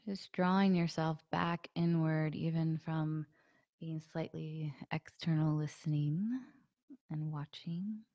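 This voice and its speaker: A woman with a low voice leads a guided meditation, her throat still a little sore and hoarse. She talks softly with plenty of fillers and slips into a breathy near-whisper. The sound is close and dry, with no room around it. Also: slow, no room sound. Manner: soft, relaxed, many fillers. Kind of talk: guided meditation with a live group.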